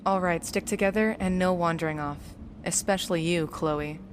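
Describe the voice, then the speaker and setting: A woman playing a practical, level-headed young explorer in an audio drama, matter-of-fact and measured, in a reverberant space. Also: lowish voice, fairly even intonation, natural voice. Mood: alert, bossy. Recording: long cave-like reverb, faint noise.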